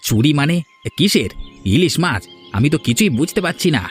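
A man's voice: fairly high pitch, big pitch swings, a little fast, faint music behind. A man voicing a grumbling, selfish, defensive village husband in a cartoon. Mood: evasive, defensive. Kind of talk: Bengali cartoon dialogue.

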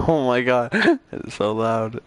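A man's voice: mid-range voice, level tone, takes it slowly, clean tone. Laid-back teen boy laughing over game chat. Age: teens. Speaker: online game player. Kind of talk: casual voice chat while gaming.

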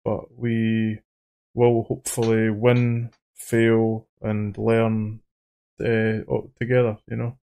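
A man with an Edinburgh Scottish accent, hosting a trading channel in a faceless voice-over, talking haltingly and repeating his words. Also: fairly low pitch, vocal fry. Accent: Edinburgh Scottish, toned down. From Scotland. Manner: halting, repeats words, says he sounds monotone. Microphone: studio microphone.

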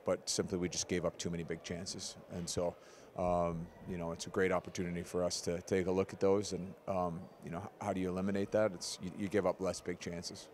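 A man in his forties, a head coach, giving a measured, analytical postgame interview with frequent ums, into a handheld mic in an arena corridor. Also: sits low, little pitch movement, darkish tone, slight background noise.

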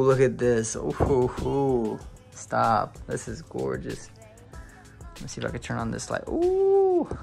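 A young man filming a casual home tour on a handheld phone, talking to viewers with excited exclamations and lots of fillers. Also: mid-range voice, creaky. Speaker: real estate video host. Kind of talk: casual walkthrough narration. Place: inside a large empty house.

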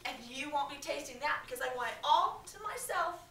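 A high-pitched, scornful caveman boy from a storybook, as a woman reading aloud puts him on. He teases and jeers in exclamations, selfish and pleased with himself. The sound is distant and echoey, as in a classroom. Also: animated, sharp tone, exaggerated voice. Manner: mocking, exclamatory, drawn-out questions. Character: teasing, selfish, mocking young caveman boy. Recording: echoey classroom, distant mic, faint noise.

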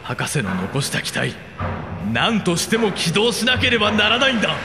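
A man acts a driven military technical officer in a radio drama. He is agitated and forceful, with a high, very lively voice. It rings with reverb. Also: moderate pace. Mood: desperate, agitated. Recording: reverberant, music behind.

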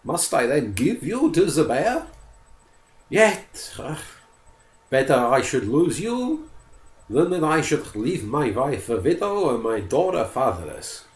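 A man voicing a polite, frightened forester in a storybook reading, his voice lifted high and anxious. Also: big pitch swings, slow pace, reverberant, exaggerated voice. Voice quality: high, strained, pleading. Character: timid, polite male forester pleading.

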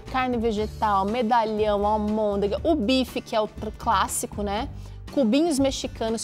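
A Brazilian woman in her thirties hosting a TV cooking show in a studio, chatty and enthusiastic as she speaks casually to viewers. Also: a little high. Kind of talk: casual, promotional presenting to viewers. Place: TV kitchen studio.

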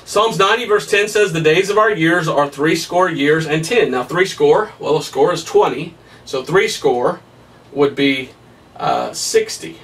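A man in his thirties preaching a Bible study at a whiteboard, emphatic and excitable, his voice somewhat hoarse. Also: fairly high pitch, measured pace, distant mic. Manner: emphatic, rising to excited peaks, laughs at his own points. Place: small room in front of a whiteboard. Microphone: camera at a distance.

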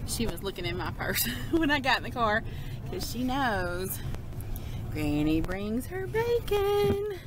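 A woman's voice: a little high, big pitch swings, a little slow. A woman, chatting and laughing in a casual travel vlog, recorded on a phone held at arm's length inside a moving car. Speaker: vlogger. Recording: road noise from a moving car.

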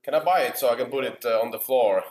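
A man's voice: mid-range voice, fast, roomy sound, crowd noise. A young man from Finland, a casino streamer chatting casually and answering viewers' chat messages. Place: small room indoors.

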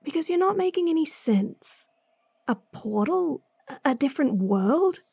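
A woman voicing a gruff, impatient female bounty hunter in a fantasy audio drama, blunt and sardonic, sighing often. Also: high voice, big pitch swings, measured pace, warm tone, no echo, exaggerated voice. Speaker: voice actress.

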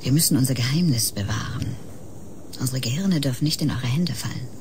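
An enigmatic woman of a science-fiction radio play who stands apart from humanity. Her voice sits low and swings widely in melody, solemn with breathy whispers. There is noticeable ambient noise behind her. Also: lively intonation, brisk. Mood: cold, resolute. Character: detached, otherworldly woman who scorns human emotion. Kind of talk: German science-fiction radio drama acting.

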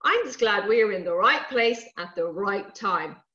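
A woman voicing a kind, calm young boy with a plain, reassuring manner. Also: medium pitch, a little slow, pitched below the performer's own voice. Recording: roomy, dull-sounding recording.

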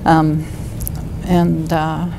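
A woman in her sixties from the United Kingdom, recalling her past in an interview and pausing often to search for words. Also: low-pitched, completely flat delivery, creaky, background noise. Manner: thoughtful, hesitant with many fillers. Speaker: interviewee, physician. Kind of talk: oral history interview.

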